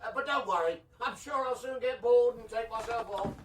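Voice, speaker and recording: An actor playing an older, chatty, self-deprecating shopkeeper who reassures politely, in a radio drama. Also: male voice, very high-pitched, moderate pace, heavy background noise. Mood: genial, reassuring. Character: genial, deferential male shopkeeper, chatty and reassuring. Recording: echoey, far from the mic.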